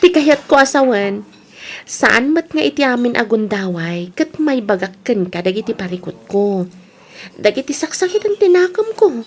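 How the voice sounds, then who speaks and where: A woman plays a young Filipina working student in a radio drama, with a high voice and a breathy, husky edge. She is expressive and girlish, hopeful even when worn down, and speaks with a Filipino accent. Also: moderate pace. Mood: teasing, bright. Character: cheerful, hardworking young female working student, weary but hopeful. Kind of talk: Ilocano radio drama acting.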